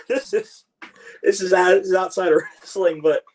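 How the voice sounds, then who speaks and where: A man's voice: high voice, moderate pace, darkish tone. A young man, a wrestling guest, chatting loosely and enthusiastically from his home in the countryside. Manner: laughs often, loose and enthusiastic. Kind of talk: casual interview chat. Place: rural home. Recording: distant mic.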